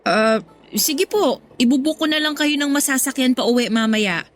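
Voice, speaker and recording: A woman's voice: high voice, moderate pace, natural voice. A woman playing a polite young goddaughter. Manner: polite, restrained, respectful. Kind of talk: radio drama acting.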